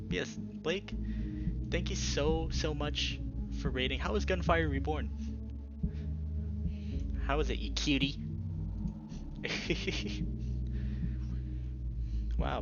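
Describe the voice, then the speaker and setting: A man's voice: mid-range voice, dull audio. A man hosting a casual livestream, talking informally and reading a visual novel aloud, sometimes hesitantly. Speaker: streamer and host, small-time DJ. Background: loud music.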